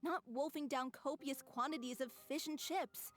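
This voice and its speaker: An actress plays an earnest young woman in a game drama. She speaks high and pointedly, nagging with real concern. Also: fairly even intonation, normal speed, lightly acted. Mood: scolding. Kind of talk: video game drama acting.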